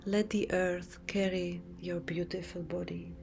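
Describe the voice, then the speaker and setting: Hushed, slow woman guiding relaxation. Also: on the low side, level tone. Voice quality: soft, breathy half-whisper. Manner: slow, hushed, soothing, with long pauses. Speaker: yoga nidra teacher. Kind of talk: guided relaxation meditation. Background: music.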